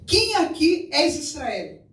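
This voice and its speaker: A man's voice: extremely high pitch, wildly swooping pitch, takes it slowly, fairly bright tone. A man from Brazil preaching a sermon, mixing Portuguese with Spanish and putting questions to the congregation. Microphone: far from the mic. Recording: echoey room, mic far off, faint noise.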